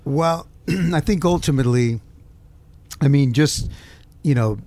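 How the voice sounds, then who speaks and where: A man's voice: mid-range voice, measured pace, a little mellow, slight background noise. A man from Southern California, a guest musician in a casual interview, rambling and searching for words. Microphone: handheld mic held close.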